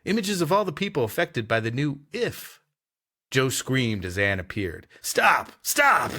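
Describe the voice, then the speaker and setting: A man's voice: mid-range voice, sing-song, moderate pace, a bit bright. A man co-hosting a podcast, wryly and dramatically narrating a vintage sci-fi story and joking with his co-host. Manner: wry, laughs easily, dramatic narration.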